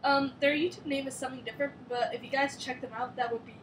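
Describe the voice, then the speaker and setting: High-voiced young woman vlogging. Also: fairly even intonation, quick pace, background noise. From Switzerland. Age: young adult. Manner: rambling, filler-heavy, strained while doing a headstand. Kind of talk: casual chat.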